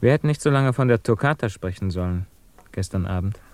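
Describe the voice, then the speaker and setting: A man's voice: on the low side, expressive, fairly quick, darkish tone. Earnest, troubled, lovesick man. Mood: probing. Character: anguished, lovesick physicist man longing to escape his life. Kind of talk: 1950s German radio play acting.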